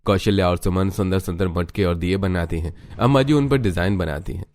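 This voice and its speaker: A man's voice: sits low, fairly quick, a little mellow. A man narrating a scripted cartoon story as its storyteller.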